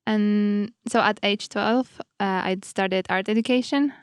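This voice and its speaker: Woman with a smooth, clear voice. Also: mid-range voice, a little slow, no room sound. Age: 30s. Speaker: artist, panel guest. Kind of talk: casual storytelling.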